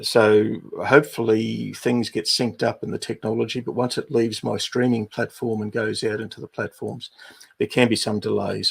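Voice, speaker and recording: A middle-aged Australian man with an Australian accent, hosting a livestream and walking viewers through housekeeping in an unhurried way with many fillers. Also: on the low side. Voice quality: gravelly, husky. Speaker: livestream host, business advisor.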